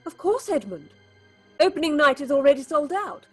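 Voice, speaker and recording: A woman acting in an audio horror drama as a proud, haunted theatre director, husky and commanding in a clipped, theatrical way. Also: high-pitched, sing-song, normal speed, darkish tone, faint music behind. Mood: icy composure. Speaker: actress.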